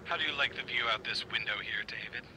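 An actor playing a suave, menacing host with mannered politeness in an audio drama. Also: male voice, medium pitch, quick pace, sharp tone, slight background noise, poor audio. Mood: falsely gracious.

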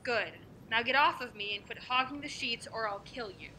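An actress playing an offhand, bossy lover who brushes off affection in an audio drama. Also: female voice, fairly high pitch, normal speed, background noise, close to an everyday voice. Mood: impatient.

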